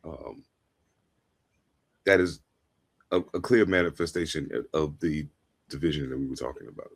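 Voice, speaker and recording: A man on a community council speaking in a relaxed, frank, colloquial way. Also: very deep voice, moderate pace, gravelly, slightly rough.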